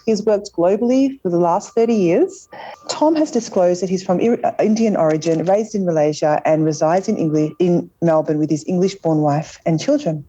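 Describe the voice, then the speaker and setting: A woman hosting a training webinar who introduces the guest in a loose, informal way, pausing often with "um". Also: on the low side, mellow, highs cut off. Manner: informal, with frequent ums. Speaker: webinar host.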